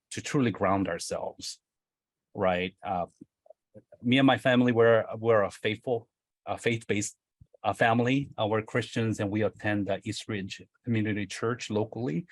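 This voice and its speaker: A man's voice: fairly low pitch, no room sound. A middle-aged man, a company executive, speaking reflectively on a video call, often hedging and asking "right?". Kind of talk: casual panel discussion.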